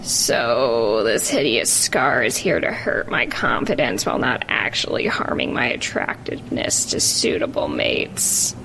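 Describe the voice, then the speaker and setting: Woman acting a very creaky, deadpan young mall girl. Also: very deep voice, a little slow, hardly any pauses, slight background noise, full character voice, rough recording. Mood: jaded, ironic. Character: jaded, brainwashed manic pixie mall-worker young woman. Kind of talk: scripted parody narration.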